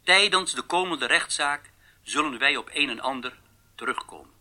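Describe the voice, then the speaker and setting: A pompous state TV interviewer in a radio play. The man's voice is very bright and sharp, swinging widely in pitch as he makes formal, declamatory pronouncements. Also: lively intonation, normal speed. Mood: triumphant, solemn. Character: pompous state television interviewer denouncing captured spies. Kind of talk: radio drama acting.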